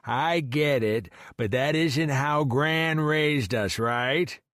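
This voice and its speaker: A man voicing an upbeat coaxing buddy, pitched up. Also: slow pace, a bit bright, dry recording, studio quality. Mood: coaxing, probing. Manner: coaxing, encouraging, questioning. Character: Banks, supportive easygoing male friend on the phone. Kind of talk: audiobook character dialogue.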